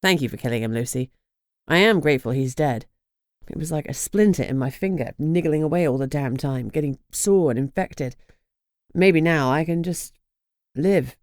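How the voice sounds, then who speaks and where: A woman voicing a grieving, angry young man with a British accent who talks in a gruff, bitter way. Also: deep voice, normal speed, darkish tone, dry recording, studio quality, voice pushed down, lightly acted.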